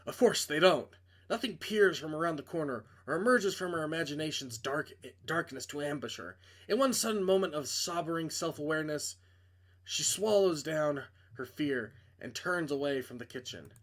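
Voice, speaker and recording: A man's voice: on the high side, expressive, normal speed, fairly bright tone. A man reading a visual novel aloud as dramatic narration, with casual asides when he stumbles and corrects himself.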